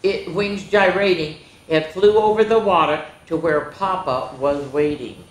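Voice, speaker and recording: A woman hosting a children's story time, reading picture books aloud in an animated way with chatty asides. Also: fairly low pitch, unhurried, roomy sound, poor audio.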